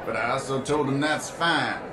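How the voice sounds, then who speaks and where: A man's voice: mid-range voice, moderate pace, close to an everyday voice. A man playing an honest, exasperated church deacon in an audio drama, protesting plainly. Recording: echoey, distant, very noisy tent.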